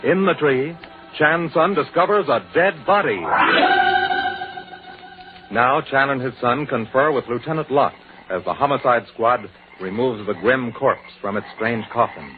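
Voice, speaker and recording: A man's voice: medium pitch, unhurried, music in the background. An American man announcing and narrating a scripted 1940s radio show in a formal, emphatic, declamatory way. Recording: scratchy, tinny 1940s radio broadcast.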